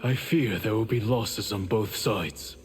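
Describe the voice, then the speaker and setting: An actor playing a wise, stern male master warrior in a video game, calm and lecturing. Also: fairly low pitch, a little slow, big-room sound, faint music behind, natural voice. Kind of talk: video game character voice acting.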